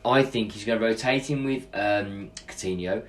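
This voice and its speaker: A man co-hosting a football podcast, thinking out loud in casual chat with plenty of fillers and restarts. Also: lowish voice, normal speed, far from the mic. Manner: chatty, rambling analysis with fillers. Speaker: podcast co-host.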